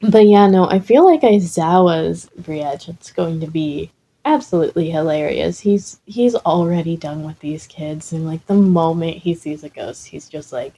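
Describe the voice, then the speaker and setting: A woman's voice: sits low, a little slow, mellow, slightly rough. A woman reading a comic story and chatting casually as the host. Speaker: fan fiction reader and host. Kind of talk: comic story narration, then casual chat.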